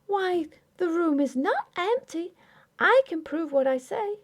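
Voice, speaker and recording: A woman's voice: very high-pitched, expressive, slow, voice pushed up, exaggerated voice. A woman voicing an indignant, tearful, stubborn young Victorian wife in a ghost story audiobook, with formal, emphatic speech. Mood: accusing. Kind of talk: dramatic audiobook character acting.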